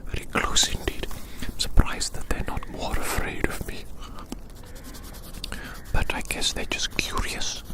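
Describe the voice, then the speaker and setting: A man speaks entirely in a breathy whisper, as in an ASMR roleplay. His manner is gentle and soothing, like a friendly caretaker. He takes his time and softly repeats short phrases. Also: unhurried. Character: gentle giant human befriending a little creature. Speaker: ASMR performer. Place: outdoors in the grass.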